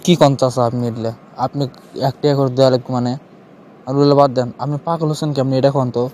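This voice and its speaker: A man's voice: mid-range voice, fairly even intonation, fast talker, warm tone. A man with a Bogura accent from northern Bangladesh, hosting a comic cartoon channel with informal banter. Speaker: cartoon channel host playing the questioner. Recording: noisy recording with clear background noise.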